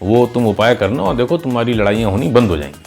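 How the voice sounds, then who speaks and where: A man voicing a wise, kindly old village woman who gives patient, gentle instruction in a moral story. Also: mid-range voice, big pitch swings, quick pace, gravelly, faint music behind. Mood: assured. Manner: patient, instructive, addresses listener as child.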